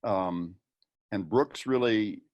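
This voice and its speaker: Slow, low-voiced man commenting thoughtfully. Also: a little mellow, lo-fi sound. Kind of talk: reflective informal comment. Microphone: video call.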